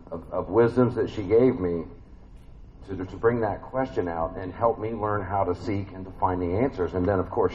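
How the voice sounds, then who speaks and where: Man, big voice, lower pitch, folksy church sermon, lo-fi dull sound. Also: slight background noise. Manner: conversational, folksy, many fillers and restarts. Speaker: preacher. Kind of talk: family sermon.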